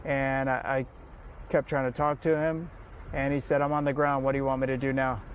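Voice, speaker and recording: A man who works as an air traffic controller, recalling events informally in an interview, modest and halting. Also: mid-range voice, level tone.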